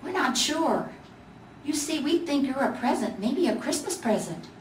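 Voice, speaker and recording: A woman's voice: sits high, big pitch swings, normal speed, voice pushed up. A woman voicing a chatty little gingerbread boy ornament, proud and knowing, explaining things matter-of-factly in a storybook reading. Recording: echoey room, distant mic, faint noise.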